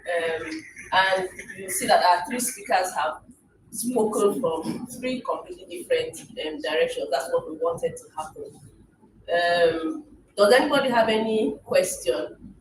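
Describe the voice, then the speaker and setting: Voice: medium pitch, sing-song, unhurried. Moderator opening the Q&A, hesitant, lots of ums, echoey room and off-mic. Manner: hesitant, heavy on fillers. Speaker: event moderator. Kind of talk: chairing a Q&A. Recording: echoey room, distant mic, audible noise.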